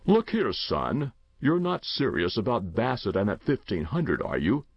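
A man acts out a jovial storybook uncle, indulgent and teasing. The voice swings widely in pitch with hearty disbelief, and has a creaky edge. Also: wildly swooping pitch, measured pace, no room sound, dull audio, exaggerated voice. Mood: probing, incredulous. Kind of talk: storybook character reading.

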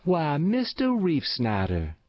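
A man's voice: on the high side, huge pitch swings, measured pace, creaky, no echo, lo-fi sound, voice pushed up, heavily acted. A man voicing a stout, kindly old farm woman who fusses and exclaims in rural American speech for an audiobook. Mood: surprised. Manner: exclaiming, folksy. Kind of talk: audiobook character voice.

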